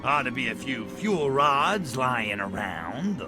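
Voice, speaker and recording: An actor playing a cocky, lecherous alien invader in a video game, with a sardonic, wisecracking drawl. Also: male voice, medium pitch, sing-song, slow, music in the background. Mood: flirty, sly. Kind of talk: video game cutscene acting.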